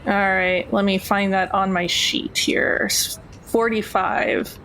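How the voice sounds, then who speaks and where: A woman's voice: mid-range voice, fairly even intonation, slow, a bit bright, slight background noise, poor audio. A woman chatting informally and a little hesitantly as a player in a tabletop role-playing game. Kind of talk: casual game chat.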